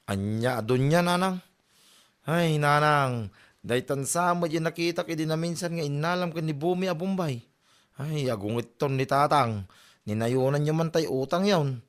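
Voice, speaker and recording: A man's voice: fairly high pitch, moderate pace, close to an everyday voice. A man playing a nagging, teasing young son in a comedy drama, exasperated. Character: nagging, teasing young Ilocano son scolding his mother. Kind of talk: Filipino comedy audio drama.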